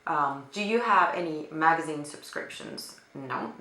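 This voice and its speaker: A young woman from Finland, answering questions in a relaxed, chatty way at home, with lots of fillers and small laughs. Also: low-pitched, measured pace. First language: Finnish. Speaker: vlogger. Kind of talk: casual chat answering a question tag. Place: apartment room. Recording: echoey home room.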